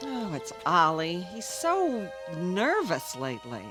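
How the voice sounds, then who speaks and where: A woman's voice: lowish voice, wildly swooping pitch, takes it slowly, music in the background, heavily acted. An actress playing a worried, fussing suburban housewife in a radio drama, confiding her complaints.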